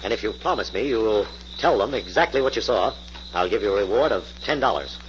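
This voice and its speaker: An actor playing a confident, businesslike crime-fighter in a 1940s radio drama. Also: male voice, lowish voice, expressive, brisk, close to an everyday voice. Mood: friendly, purposeful. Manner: assured, businesslike. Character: confident, brisk male crime-fighting hero. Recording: muffled, noisy old radio recording.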